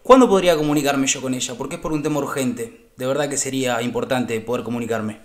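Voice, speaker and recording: A man with an Argentine accent playing an earnest, aggrieved uncle with solemn, halting, formal speech on a prank call. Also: medium pitch, natural voice. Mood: solemn, polite. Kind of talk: improvised prank phone call.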